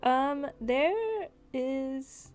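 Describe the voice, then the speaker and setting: A woman improvising narration as game master of a tabletop game, explaining in a halting way. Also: sits high, level tone, soft background music.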